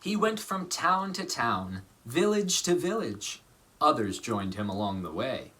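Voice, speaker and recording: Bright, highly expressive male storybook narrator. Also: a little slow. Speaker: actor reading a children's storybook aloud.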